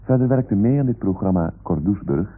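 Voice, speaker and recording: A man announcing formally on old radio, reading out credits as a measured announcer. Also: fairly low pitch, animated, husky. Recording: muffled old radio recording.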